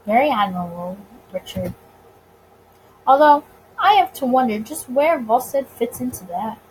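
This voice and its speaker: A woman voicing a smooth, coy male mobster boss who teases and probes in a visual novel. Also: fairly high pitch, animated, moderate pace, a little hiss or hum, natural voice, poor audio.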